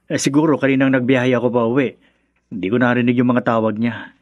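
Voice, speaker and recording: A man playing a dutiful, affectionate young Filipino husband and son in a radio drama, gentle and placating. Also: medium pitch, steady tone, quick pace, no echo, lo-fi sound, lightly acted. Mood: apologetic, caring.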